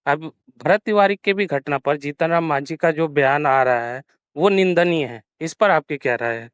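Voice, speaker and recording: Clear, fairly high-voiced male interviewer. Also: moderate pace, no echo, lo-fi sound. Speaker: news interviewer. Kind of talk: political phone interview.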